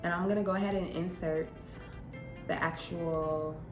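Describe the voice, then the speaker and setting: A young woman with a slightly low voice tells a personal story like a vlogger talking to viewers. Her delivery is conversational and emphatic. The room sounds echoey, and music plays behind her. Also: steady tone, moderate pace. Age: young adult.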